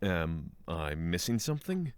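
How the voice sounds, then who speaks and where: A man's voice: low voice, sing-song, measured pace, clear, resonant tone, no room sound. A man playing a courteous, skeptical spiritual traveller in a fantasy audio drama, with formal, dryly inquisitive phrasing. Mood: questioning.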